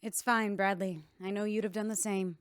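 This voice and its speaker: A woman's voice: medium pitch, fairly even intonation, normal speed, clear, resonant tone, no room sound, close to an everyday voice. An actress playing a tough, curt woman bartender in a sci-fi radio drama, with a dry manner. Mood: subdued.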